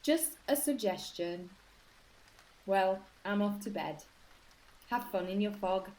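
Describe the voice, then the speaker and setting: An actress as an easygoing woman in a radio play. She talks slowly and casually in a soft-toned, mellow voice, with faint noise around her. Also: mid-range voice, expressive, low-quality recording. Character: easygoing woman casually offering suggestions at bedtime. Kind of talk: radio drama dialogue.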